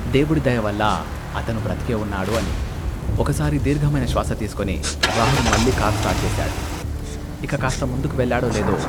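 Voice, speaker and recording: A man's voice: mid-range voice, very noisy. A man narrating a scripted horror story as a storyteller. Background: loud music, rain and storm effects.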